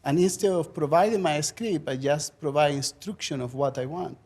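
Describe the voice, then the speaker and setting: A man's voice: fairly high pitch, moderate pace, darkish tone, husky. A man from Chile with a thick Chilean Spanish accent, a native Spanish speaker, talking earnestly as a faculty panelist.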